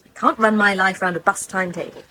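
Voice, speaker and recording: A woman's voice: medium pitch, steady tone, fast talker, sharp tone, lightly acted. A woman playing a precocious, self-assured teenage girl in a radio soap, with an educated English accent and a pert, breezy manner. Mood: pert, complaining. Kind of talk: naturalistic radio soap acting.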